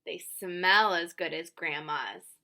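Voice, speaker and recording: A woman voicing eager young children in a picture book, with emphatic, drawn-out delivery. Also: fairly low pitch, bright, forceful tone, full character voice. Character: hungry, eager young children sharing cookies.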